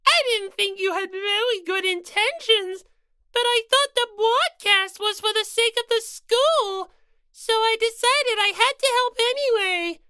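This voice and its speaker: Squeaky, whiny rabbit mascot. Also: female voice, measured pace, bright, forceful tone, no room sound, full character voice. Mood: tearful, hurt. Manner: squeaky, plaintive, easily hurt, protesting. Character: cute, naive, whiny female magical-girl rabbit mascot. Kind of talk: audiobook character voice.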